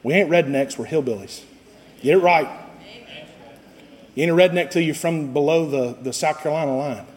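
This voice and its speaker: A man preaching a passionate sermon as pastor, swinging from slow, low stretches to loud cries. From Costa Rica. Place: church sanctuary. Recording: long reverb of a church sanctuary.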